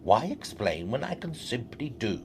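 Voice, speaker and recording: An actor playing a secretive, self-assured professor from another reality in an audio drama, with formal, theatrical delivery. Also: male voice, mid-range voice, big pitch swings, normal speed, darkish tone, a little hiss or hum, lightly acted. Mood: urgent, decisive. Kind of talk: scripted audio drama acting.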